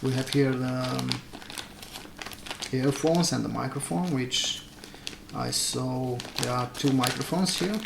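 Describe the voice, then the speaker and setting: Slow, gravelly non-native English-speaking man, echoey room. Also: mid-range voice. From Transylvania, Romania. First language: not English. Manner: hesitant, halting, searching for words. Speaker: product reviewer. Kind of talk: casual unboxing commentary. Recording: echoey room, noisy, clicks and crinkling.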